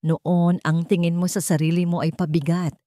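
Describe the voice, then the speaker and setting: A woman's voice: on the low side, level tone, moderate pace, dark tone, no room sound, clean studio sound, natural voice. A woman with a Filipino accent voicing a humbled young billionaire in a romance story, earnest and pleading. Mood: apologetic. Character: remorseful, humbled young billionaire man in love. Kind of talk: romance radio-drama story dialogue.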